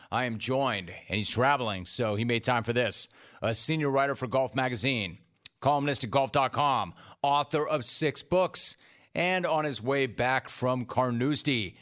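A man's voice: mid-range voice, fairly bright tone. Polished male sports radio host. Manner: smooth, measured broadcaster delivery with sponsor reads. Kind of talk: radio interview hosting. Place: radio studio.

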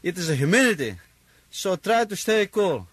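A man's voice: sits high, big pitch swings, normal speed, slightly rough, no echo, full character voice. A man playing a chatty hot dog vendor with a Greek accent in a comic radio bit, with cheesy radio-jock patter. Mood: hammy. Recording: archive clip with music behind.

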